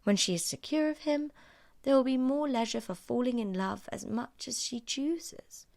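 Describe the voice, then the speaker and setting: Woman acting young female confidante, pragmatic, guarded, coolly reasoning, measured, storybook dialogue. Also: fairly high pitch, vocal fry, darkish tone, voice pushed up. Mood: pragmatic counsel. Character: Charlotte Lucas, pragmatic, guarded young Englishwoman friend. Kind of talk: audiobook character dialogue.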